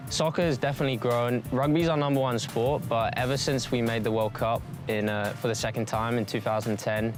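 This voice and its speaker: A young man, a college soccer player, giving relaxed, rambling interview answers. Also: medium pitch, brisk, hardly any pauses. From New Zealand. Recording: music bed under interview.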